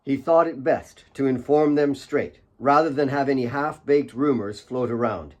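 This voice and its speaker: A man's voice: medium pitch, normal speed, a little mellow, highs cut off. A man reading his own fiction as a scripted, dramatic audiobook narration.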